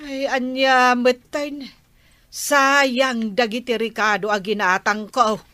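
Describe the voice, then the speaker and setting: A woman with an Ilocano accent acting an expressive, indignant village wife in a comedy drama. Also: a little high, slow pace, dry recording. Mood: animated, complaining.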